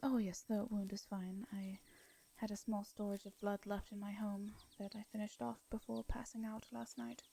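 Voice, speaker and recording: A woman with an English accent voicing a gentle, anxious, centuries-old vampire in an intimate fantasy audio roleplay, speaking softly and breathily, with hesitant, formal wording. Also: mid-range voice, little pitch movement, normal speed, creaky, few pauses, a little hiss or hum, close to an everyday voice. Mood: uneasy, defensive. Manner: hesitant, stammering, formal old-fashioned speech.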